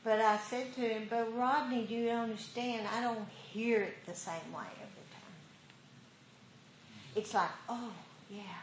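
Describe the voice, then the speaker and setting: Slow-talking woman teacher in roomy hall. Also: a little high, fairly even intonation. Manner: unhurried, laughs often. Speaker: dharma teacher. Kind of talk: casual group discussion. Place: meditation hall. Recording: roomy hall with faint noise.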